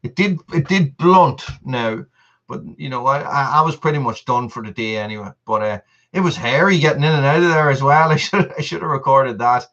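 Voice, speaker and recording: A man's voice: medium pitch, fairly quick, a bit bright, muffled recording. A man, hosting a casual live stream and chatting to viewers. He rambles, repeats himself and laughs often. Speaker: channel host on a live stream.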